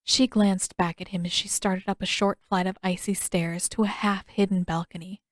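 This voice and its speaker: A woman's voice: medium pitch, steady tone, normal speed, no room sound. Woman narrating fiction, very dry sound. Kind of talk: expressive scripted story narration.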